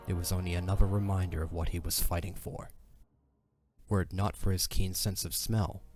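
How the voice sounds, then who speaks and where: A man's voice: low voice, a little mellow. A man narrating an audio drama as a scripted story. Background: faint music.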